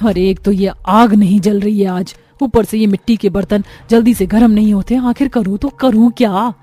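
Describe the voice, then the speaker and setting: A woman voicing a young Indian daughter-in-law, polite but easily frustrated, in an earnest, expressive cartoon family story. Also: mid-range voice, moderate pace, close to an everyday voice.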